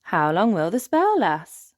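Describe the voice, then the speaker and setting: A woman's voice: on the low side, huge pitch swings, measured pace, no room sound, clean studio sound. Woman putting on a pompous, grumbling fairy king. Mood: uneasy.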